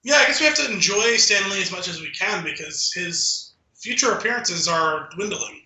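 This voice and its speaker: A man with a very bright, forceful voice pitched a little high talks casually as a podcast co-host. His phrasing rambles and feels its way along. The room around him echoes noticeably. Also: lively intonation, moderate pace, a little hiss or hum. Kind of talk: casual film discussion chat. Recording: roomy, echoing room sound.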